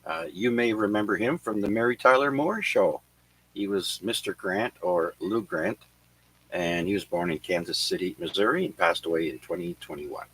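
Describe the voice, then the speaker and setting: A man hosting an amateur radio net, reading notes on who was born and who died on this day, with many filler pauses. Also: low voice, fairly bright tone, breathy edge, low-quality recording. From Canada. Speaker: radio net control host. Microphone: radio transceiver microphone.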